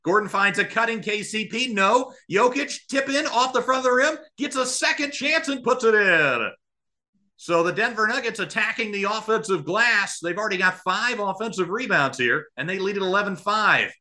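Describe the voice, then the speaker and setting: A man's voice: sits high, lively intonation, normal speed, pushed and bright. A man calling live basketball as a radio play-by-play commentator, describing the action in short running phrases and adding analysis. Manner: present-tense running call in short clipped phrases.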